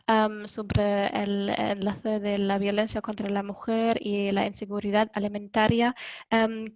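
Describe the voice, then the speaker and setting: A woman giving a webinar presentation in Spanish, which is not her first language, pausing often with fillers and correcting herself. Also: mid-range voice, a little mellow, no room sound. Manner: hesitant, many ums and self-corrections.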